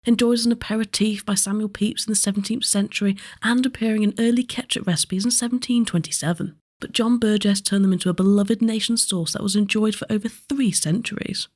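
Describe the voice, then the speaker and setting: Woman doing scripted docu voiceover, mid pitch, presenter style. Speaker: presenter, narrator. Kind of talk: scripted documentary narration.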